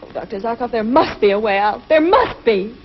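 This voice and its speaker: An actress playing an anxious, pleading spaceship heroine in 1950s TV science fiction, melodramatic in delivery. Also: female voice, on the high side, expressive, fairly quick. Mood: desperate. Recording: muffled 1950s TV soundtrack.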